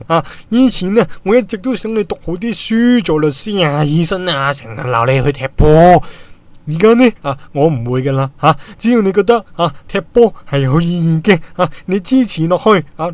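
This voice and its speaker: Man playing a high-voiced halting Cantonese father. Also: dry recording, natural voice. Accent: Hong Kong Cantonese. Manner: halting, starting phrases with drawn "ah" fillers. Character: doting middle-aged working-class father, gently supportive. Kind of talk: Hobby radio drama acting.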